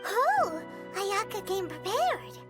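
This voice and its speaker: A tiny game fairy with an extremely high, squeaky little-girl voice. She is perky and eager, swinging wildly up and down in pitch over prominent music. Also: big pitch swings, takes it slowly, full character voice. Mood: chatty, curious. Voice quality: squeaky, childlike. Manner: perky, refers to self in third person. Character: small chirpy girlish fairy companion, eager, food-loving, childlike. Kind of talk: video game dialogue acting.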